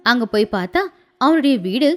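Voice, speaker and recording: A woman narrating a scripted animated moral tale as a storyteller. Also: fairly high pitch, normal speed.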